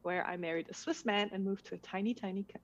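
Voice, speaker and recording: A woman's voice: mid-range voice, fast talker. A woman from Denmark, a documentary filmmaker, chatting casually as a guest and laughing often. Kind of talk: casual conversation. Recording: dull lo-fi sound.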